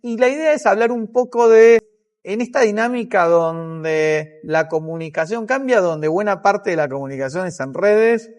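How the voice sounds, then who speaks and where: A man with an Argentine accent, a psychiatrist giving explanatory interview answers in a measured way, thinking aloud as he goes. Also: high voice, a little mellow.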